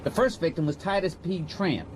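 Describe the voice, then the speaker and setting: An actor playing a confident, frank American aviator, breezy and matter-of-fact, in a noisy radio drama. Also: male voice, on the high side, normal speed, lightly acted.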